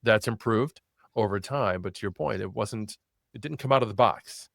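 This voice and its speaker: Male podcast host, relaxed and agreeable, lowish voice, dry close mic. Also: moderate pace, vocal fry, dry recording. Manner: friendly, agreeing, conversational. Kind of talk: casual interview chat.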